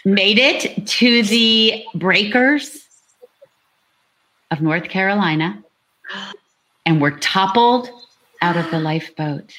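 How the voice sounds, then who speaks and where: A woman with a medium-pitched voice. She co-hosts a live online book event, moving between friendly chat and reading character stories aloud. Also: animated, takes it slowly, long pauses, bright, forceful tone, poor audio. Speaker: author and co-host reading out character fates.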